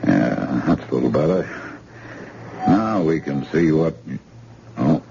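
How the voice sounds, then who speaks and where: An actor playing a brisk, matter-of-fact surgeon in an old-time radio drama. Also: male voice, rumbling low, animated, gravelly, heavily acted. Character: calm male surgeon, brisk and assured. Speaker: doctor. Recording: hissy, muffled old radio recording.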